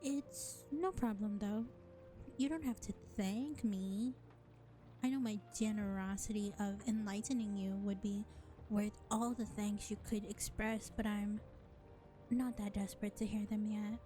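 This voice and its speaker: A woman playing a sly, self-serving vampire bar owner in an audio roleplay, speaking in a sardonic, condescending drawl with deliberate pauses. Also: medium pitch, steady tone, dark tone, soft background music, lightly acted. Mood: condescending teasing. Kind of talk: scripted audio roleplay acting addressed to listener.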